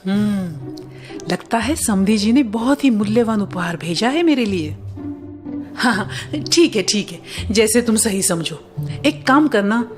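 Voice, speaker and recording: A sly, greedy middle-aged mother-in-law from a cartoon acted by a woman, pitched fairly high. She wheedles and exclaims theatrically, with music playing underneath. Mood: pleased. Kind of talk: Hindi cartoon story acting.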